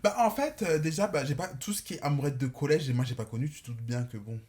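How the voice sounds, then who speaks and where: A man's voice: on the high side, quick pace, darkish tone. A man in his twenties chatting casually as a podcast guest, rambling and slangy, dropping in English words. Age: 20s. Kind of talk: casual chat.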